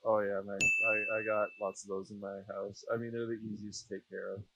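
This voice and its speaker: A man's voice: fairly low pitch, flat delivery, brisk, very noisy. A Canadian man chatting casually as an interview guest, informal and slangy. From Saskatchewan, Canada. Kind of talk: casual chat. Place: small aircraft cockpit.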